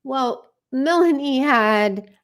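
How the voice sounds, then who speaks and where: A woman's voice: high-pitched, expressive, very slow, clear, resonant tone. A woman hosting a true crime live stream, giving informal, conversational commentary with wry asides. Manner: conversational, wry asides, acts out read messages.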